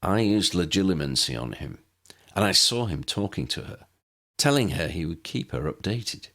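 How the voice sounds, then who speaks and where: A man voicing a confident, decisive head detective wizard in an audiobook, brisk and matter-of-fact. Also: sits low, animated, a bit bright, heavily acted. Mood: confidential.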